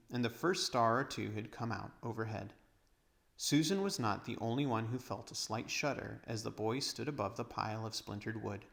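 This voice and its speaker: A man's voice: lowish voice, vocal fry, a bit bright. A man narrating a storybook aloud as a read-aloud reader.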